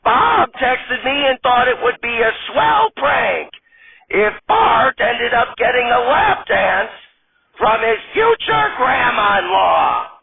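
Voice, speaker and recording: A cheeky, bossy grandmother in her nineties, squawks high and slow with a quaver. She scolds at full volume, stretching every word. The sound is thin and tinny, like over a phone. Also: big pitch swings, gravelly, a little hiss or hum, exaggerated voice. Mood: brassy. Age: older. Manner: high quavering scolding old-lady voice, drawn out. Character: spry ninety-five-year-old grandma, cheeky and bossy.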